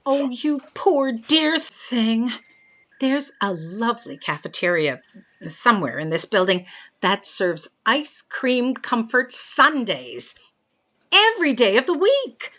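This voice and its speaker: A kindly, dreamy lady coos in a comic audio drama. Her highish voice is slow and swoops wildly up and down, with faint music behind. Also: sing-song, a bit bright, full character voice. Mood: soothing, dreamy.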